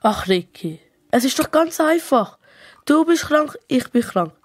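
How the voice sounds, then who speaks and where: A woman's voice: sits high, sing-song, measured pace, dry recording, clean studio sound. A woman playing a sick, matter-of-fact young schoolchild with a plan, in a children's radio play. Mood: confident, plotting.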